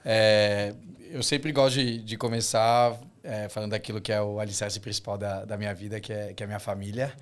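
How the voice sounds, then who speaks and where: A man's voice: lowish voice, level tone, a little fast. A man in his thirties from Israel, speaking with a slight Israeli accent as an enthusiastic podcast guest who often repeats words as he thinks. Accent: slight Israeli accent in Portuguese. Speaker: podcast guest, startup co-founder. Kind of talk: casual interview chat.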